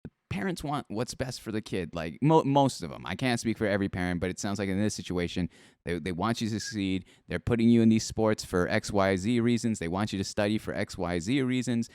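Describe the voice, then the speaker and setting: A man's voice: on the low side, brisk, clear, resonant tone, no echo, studio quality. A man in his twenties hosting a live-stream interview, talking energetically and speaking to the chat as he goes. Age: 20s. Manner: energetic, upbeat, addresses the chat. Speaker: host.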